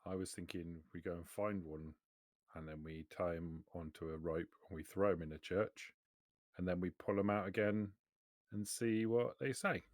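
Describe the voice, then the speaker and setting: A man plays a tabletop roleplaying game. His voice is very low-pitched. He talks casually in character with the others at the table. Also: steady tone, normal speed, dry recording.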